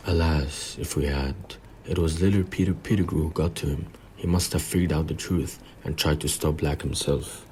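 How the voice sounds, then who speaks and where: A man plays a grave older government minister in an audio play. The voice is extremely low and level, measured and formal with a pompous weight. There is a faint noise behind it. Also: steady tone, darkish tone. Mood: solemn.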